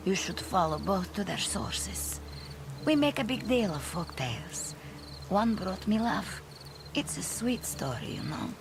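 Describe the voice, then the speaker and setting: A woman playing a grim, wry veteran warrior in a video game, with a measured, brooding way of telling her story. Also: medium pitch, sing-song, vocal fry, noisy background. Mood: wry, bitter. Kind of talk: video game cutscene monologue.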